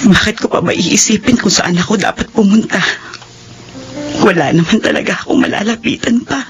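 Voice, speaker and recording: A woman's voice: mid-range voice, moderate pace, background noise, lightly acted. A woman playing a despairing, homeless young woman, brooding aloud in a radio drama monologue. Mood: despairing, hopeless.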